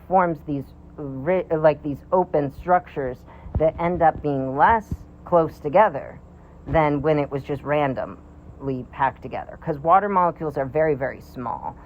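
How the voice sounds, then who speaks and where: A man's voice: a little high, highs cut off, slight background noise. A man in his thirties talking through a science topic in a casual monologue, rambling and hesitant with many fillers and restarts, but wondering and enthusiastic. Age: 30s. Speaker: vlogger.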